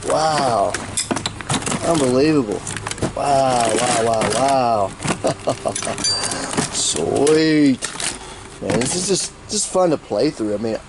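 A man giving a casual, folksy and excited walkthrough commentary, rambling as he goes like a vlogger. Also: medium pitch, extremely slow, pauses often. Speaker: YouTube vlogger and reseller. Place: metal storage unit, handheld camera. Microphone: handheld camera mic. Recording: noisy handheld camera audio.

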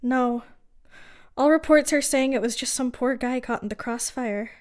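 A woman's voice: high voice, moderate pace, natural voice. A woman playing a reasonable, conciliatory girlfriend in an audio drama, natural and level-headed. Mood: calm, placating.

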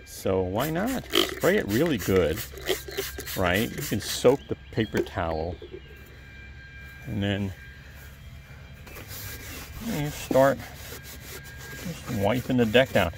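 Calm, gravelly man chatting outdoors. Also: on the low side, takes it slowly, long pauses, background noise. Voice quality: soft, calm voice. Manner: chatty, rambling asides to the viewer. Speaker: video creator. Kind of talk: casual how-to talk while working. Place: outdoors on a lawn. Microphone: camera held close, point of view.

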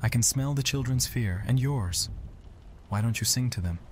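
A man playing a tender, reassuring young vampire prince with a steady manner in an audio drama. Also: medium pitch, moderate pace, a little hiss or hum, natural voice. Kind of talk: audio drama roleplay acting.

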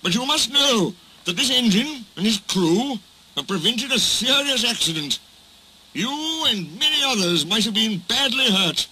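Storybook railway controller man acting: authoritative, kindly, dignified, animated pitch. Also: a little hiss or hum, exaggerated voice, poor audio. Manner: impressive, measured pronouncements.

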